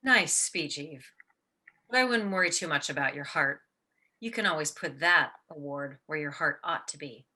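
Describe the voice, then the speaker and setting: An actress playing a sharp-tongued, worldly woman of the theater in a radio play, wry and teasing. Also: sits low, expressive, moderate pace, heavily acted. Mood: cutting, wry. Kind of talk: theatrical radio drama acting.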